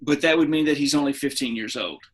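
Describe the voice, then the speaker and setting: A man co-hosting a podcast, talking casually about film in a halting, explanatory, trivia-minded way. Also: a little high, breathy edge. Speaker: podcast co-host. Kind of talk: casual film discussion among friends.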